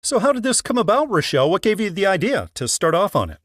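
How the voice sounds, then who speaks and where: A man's voice: high-pitched, big pitch swings, fast, a bit bright, no room sound, clean studio sound. A man hosting an interview show, moving between scripted introductions and questions to guests. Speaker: podcast host and interviewer.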